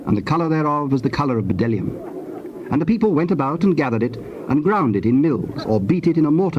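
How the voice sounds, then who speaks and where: A man narrating dramatized scripture in archaic English in a measured, formal manner. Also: medium pitch, darkish tone. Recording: dull, very noisy old tape.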